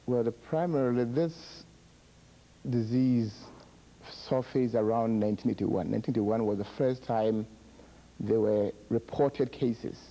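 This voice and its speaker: Ghanaian-born man, halting explanations. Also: medium pitch, dark tone, poor audio. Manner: measured, halting, with repeated words and fillers. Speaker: public health project director, guest. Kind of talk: explanatory interview answers.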